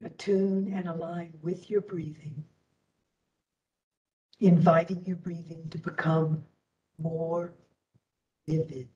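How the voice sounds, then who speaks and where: A woman teacher leading a guided meditation in a soothing, deliberate way, leaving long pauses. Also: lowish voice, little pitch movement, mellow, highs cut off. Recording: distant microphone.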